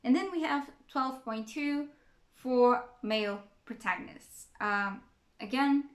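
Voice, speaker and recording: A woman's voice: a little high, slow, roomy sound. A young woman from Portugal, a native Portuguese speaker, chatting casually as a book vlogger and thinking aloud with fillers and restarts. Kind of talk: casual reading-stats chat to camera.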